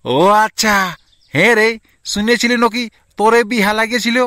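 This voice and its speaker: A man's voice: sits high, steady tone, moderate pace, bright, forceful tone, no echo, studio quality. A man voicing a blunt, nosy middle-aged village uncle with a rural Purulia Bengali accent in an amateur comic cartoon. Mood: nosy, questioning. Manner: blunt, questioning, repeats himself.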